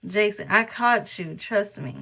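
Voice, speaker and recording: A woman's voice: mid-range voice, lively intonation, a little slow, gravelly, a bit bright. A woman from Harlem, chatting casually and teasingly with viewers on a live stream, laughing often. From New York (Harlem).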